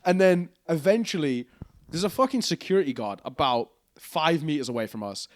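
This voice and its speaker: A man's voice: on the high side, lively intonation, moderate pace, no room sound, clean studio sound. A man co-hosting a casual chat, telling a long anecdote in a rambling way, full of "like" and swearing. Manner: rambling anecdote, heavy use of "like"; swears freely, amused storytelling. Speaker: co-host.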